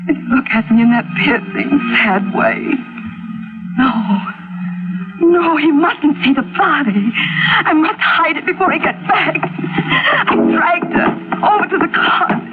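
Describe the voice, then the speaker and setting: A jealous, unbalanced wife in an old radio mystery. She tells her dark story with brooding melodrama. The recording is thin and tinny, like a vintage broadcast. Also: high-pitched, a little slow, over loud music. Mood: frantic. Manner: melodramatic, brooding first-person delivery.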